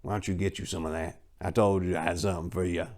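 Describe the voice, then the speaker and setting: A man voicing a sleazy male street hustler in a dramatic story reading, low-key, slangy and coaxing. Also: steady tone, fast talker, a little mellow. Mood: sly coaxing.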